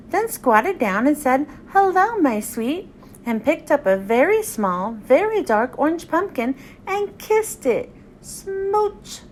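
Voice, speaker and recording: A woman's voice: sits high, a little hiss or hum. A woman reading a children's picture book aloud and playing up every sound word with animation. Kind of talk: read-aloud storytelling for kids.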